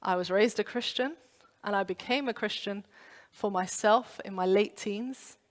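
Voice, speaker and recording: Woman, pastor, animated sermon, mid pitch, echoing hall. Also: unhurried. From London. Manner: animated storytelling with acted-out inner voices. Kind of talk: youth sermon. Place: church.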